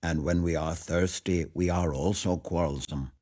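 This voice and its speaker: A man voicing a jovial, teasing storybook gentleman with a hearty, showman-like manner. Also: low voice, fairly even intonation, moderate pace. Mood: wry. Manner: hearty, mock-grand.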